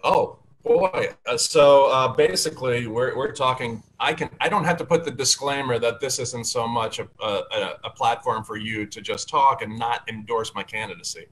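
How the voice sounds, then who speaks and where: A man's voice: medium pitch, moderate pace, hardly any pauses, a bit bright, slight background noise. A man hosting a casual video call talk show from a home study with bookshelves, upbeat and chatty with plenty of fillers. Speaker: host and candidate. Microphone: video call webcam.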